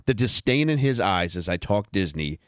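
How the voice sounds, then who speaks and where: A man's voice: fairly low pitch, sing-song, moderate pace, smooth, no room sound, studio quality. A man talking candidly and informally on a podcast, rambling a little and reading out his own written commentary. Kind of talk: casual podcast chat with read-aloud commentary.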